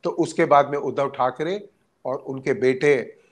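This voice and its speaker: A man giving unscripted political analysis as a journalist, speaking haltingly with hesitant pauses mid-sentence. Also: mid-range voice.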